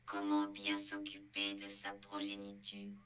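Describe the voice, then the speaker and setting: A woman voicing a vain female household robot in a parody dub, speaking in a flat, mechanical way through a robot filter. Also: very deep voice, a little slow, very noisy. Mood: literal. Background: loud music.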